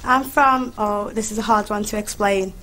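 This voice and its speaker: A woman with a Scouse accent, a contestant chatting nervously and excitedly in a rambling way. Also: medium pitch, normal speed, a little hiss or hum, rough recording. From Liverpool area, England. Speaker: auditioning contestant. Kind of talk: excited chatty audition talk.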